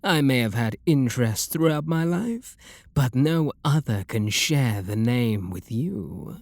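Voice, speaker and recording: A man with a British accent playing a refined, possessive and seductive vampire lord in an intimate ASMR roleplay, speaking softly and coaxingly with a breathy, husky voice. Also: mid-range voice, lively intonation, no echo, clean studio sound. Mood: possessive devotion. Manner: soft, coaxing, flirtatious, unhurried.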